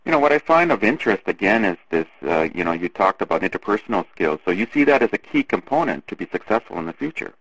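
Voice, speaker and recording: Deep-voiced male interview host. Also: sing-song, fast talker, few pauses, fairly bright tone, slightly rough, no echo, distant mic, low-quality recording. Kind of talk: podcast interview.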